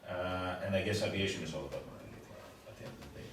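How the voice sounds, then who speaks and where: A man moderates a conference panel and puts long questions together with hesitant fillers. His voice sits a little low. It rings with heavy hall echo from a distant mic, and noise is clearly heard behind him. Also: rapid-fire. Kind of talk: panel discussion.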